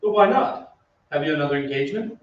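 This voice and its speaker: An actor playing an earnest, ardent young opera singer who also narrates an old-time radio drama. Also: male voice, on the high side, animated, quick pace, close to an everyday voice. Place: theatre stage. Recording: very echoey stage, distant, muffled, faint noise.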